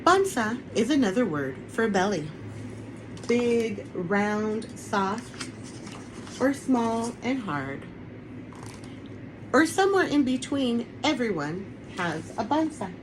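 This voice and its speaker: A woman's voice: fairly high pitch, sing-song, slow, long pauses, noisy background. A woman who is an author, reading her children's picture book aloud on an online webinar recording. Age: adult, parent of a young son.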